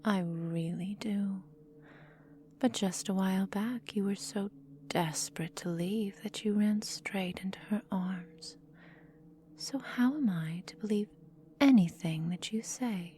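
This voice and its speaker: Casting: woman to play seductive, cruel vampire mistress for ASMR roleplay. Soft, dark-toned breathy whisper, unhurried, possessive menace; faint noise behind. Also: mid-range voice, lightly acted. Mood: mocking, teasing. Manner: slow, teasing, menacing, drawn-out phrasing.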